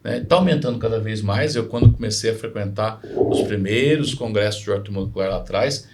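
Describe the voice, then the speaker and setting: Gravelly low-voiced Mineiro doctor. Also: male voice, brisk, very noisy. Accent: Mineiro Brazilian Portuguese. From Minas Gerais, Brazil. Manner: rambling, frequent tag questions, self-deprecating humor. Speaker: physician guest. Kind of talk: casual podcast conversation.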